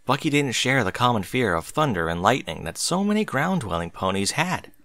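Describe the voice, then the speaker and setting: A man narrates a scripted story expressively. His pitch moves around a lot from phrase to phrase, and the recording is dry with hardly any room in it. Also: sing-song, fairly quick, fairly bright tone, no echo.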